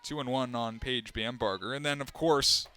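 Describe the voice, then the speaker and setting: A young man calling live games as a play-by-play announcer, steady between plays and climbing sharply in pitch and volume on big moments. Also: moderate pace. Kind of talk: live sports play-by-play commentary.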